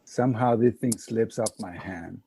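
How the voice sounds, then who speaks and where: Man, fairly deep relaxed voice, casual storyteller, short punchy phrases, light background noise. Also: moderate pace, vocal fry. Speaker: podcast guest.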